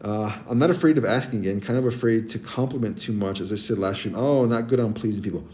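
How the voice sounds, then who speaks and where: A man in his forties with a naturally deep voice, chatting casually with stream viewers and giving friendly advice, with many ums and restarts. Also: fast talker, a little mellow, a little hiss or hum. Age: 40s. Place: abroad, streaming on a tablet. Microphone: tablet mic.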